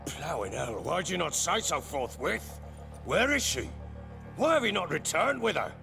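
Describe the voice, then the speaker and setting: An actor playing a gruff, bitter, hot-tempered middle-aged baron and soldier in a video game, coarse and blustering in his talk. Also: a little high, big pitch swings, normal speed, over music. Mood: angry, impatient. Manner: coarse, blustering, profane storytelling. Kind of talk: dramatic video game acting.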